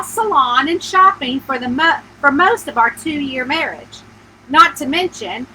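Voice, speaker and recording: A woman's voice: sits high, pushed and bright, slight background noise, low-quality recording. A woman reading a first-person story aloud as its author, expressively acting out the dialogue. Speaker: mystery author reading her own book.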